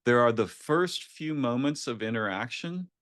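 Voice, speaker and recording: A man's voice: mid-range voice, lively intonation, moderate pace, a bit bright, no room sound, studio quality. A man hosting a conversational interview, speaking reflectively and often checking in with "right?". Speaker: podcast host.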